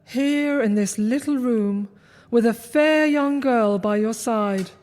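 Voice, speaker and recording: Woman doing a grave spirit, commanding and slow, long echo effect, soft music behind. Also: fairly high pitch. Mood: solemn. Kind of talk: radio drama acting. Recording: reverb effect on the voice.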